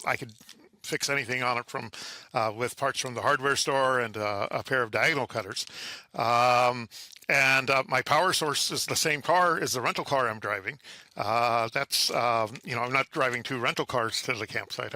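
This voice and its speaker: A man answering as an interview guest, explaining things conversationally in a halting way with frequent pauses and repeated words. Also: mid-range voice, sharp tone, dry recording. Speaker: guest, amateur radio contester.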